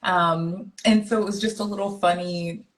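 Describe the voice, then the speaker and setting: A woman's voice: on the low side, steady tone, moderate pace. A young American woman talking casually as a guest in a conversation. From United States. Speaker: guest, traveling parent. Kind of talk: casual chat.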